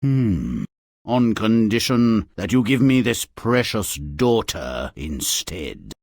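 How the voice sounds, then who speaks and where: Gruff, commanding beast villain for a fairy tale game, slow and dry, with big swoops of pitch. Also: male voice, very animated, darkish tone, no echo, exaggerated voice. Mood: menacing. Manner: slow, threatening, imperious. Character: grim, menacing male beast, gruff and commanding. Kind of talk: theatrical video game villain acting.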